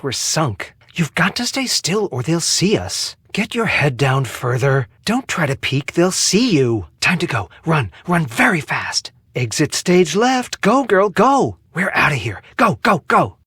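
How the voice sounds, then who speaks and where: An urgent, jumpy game lookout. The voice is very husky and breathy, lively in pitch, and recorded dry. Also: male voice, dry recording, full character voice. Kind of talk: game voice acting.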